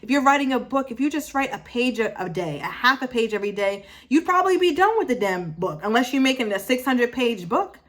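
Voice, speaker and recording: A woman's voice: a little high, animated, brisk, hardly any pauses. A woman in her twenties giving a casual motivational talk to her viewers, emphatic, driving points home through repetition and stopping to correct herself. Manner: emphatic, repeats phrases for effect, self-correcting. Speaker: motivational vlogger.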